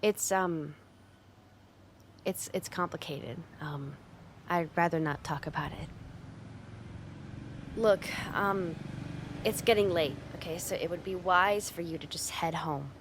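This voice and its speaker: A woman playing a brash delinquent young woman in an ASMR roleplay, speaking straight to the listener in a blunt, informal way with halting hesitations, gruff but secretly caring. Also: fairly low pitch. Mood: flustered, guarded. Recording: clear background noise, outdoor street ambience.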